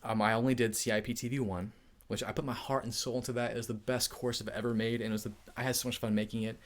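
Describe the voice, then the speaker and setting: A man's voice: medium pitch, level tone, quick pace. A man hosting a casual live-stream Q&A, upbeat and chatty, laughing often, feeling hot. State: overheated. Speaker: tech YouTuber hosting a live Q&A.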